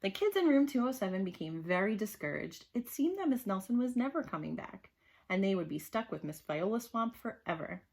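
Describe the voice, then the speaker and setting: A woman reads a children's storybook aloud with an animated, emphatic delivery and a slightly husky voice. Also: medium pitch, brisk. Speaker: storybook reader.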